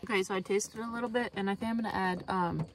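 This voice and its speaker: A woman in her thirties chatting casually in a cooking vlog, relaxed and rambling, thinking aloud as she goes, filmed on a phone at arm's length inside a parked car. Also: medium pitch, quick pace. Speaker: vlogger. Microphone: phone camera at arm's length. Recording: noisy car interior.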